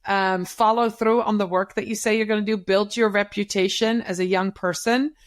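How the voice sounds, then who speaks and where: A middle-aged woman whose first language is Dutch, speaking English as a guest in an interview. She gives career advice in an emphatic, coaching way and repeats key phrases to drive them home. Her voice sits at an ordinary pitch for a woman. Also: fairly even intonation, moderate pace. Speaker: guest, social media business owner. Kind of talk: advice-giving interview talk.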